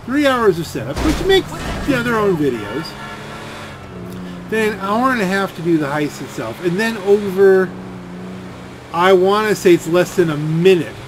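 A man with a fairly high voice commentates over a game with clear engine noise behind him. He rambles and grumbles, halting and doubling back on his words. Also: expressive. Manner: complaining, halting, repeats words. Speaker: gaming video creator.

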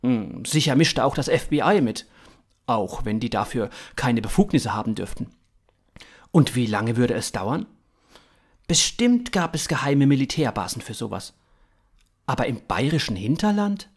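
A man in his thirties narrating scripted fiction in a dramatic, expressive way. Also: medium pitch, moderate pace, slightly rough.

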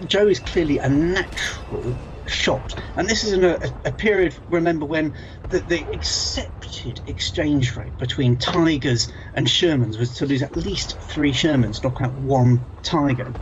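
A middle-aged man with a British accent giving an informal history lecture in an emphatic, storytelling way. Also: mid-range voice. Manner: emphatic, storytelling delivery with rhetorical questions. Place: small home office, indoors. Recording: dull lo-fi audio with some noise.

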